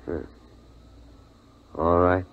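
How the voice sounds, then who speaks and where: An actor playing a protective, weary middle-aged father with a husky voice and measured, reassuring manner in a 1950s radio drama. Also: sits low, level tone, full character voice. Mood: practical, firm. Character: steady, weary, protective father, practical and calming. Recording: tinny, narrow 1950s radio recording.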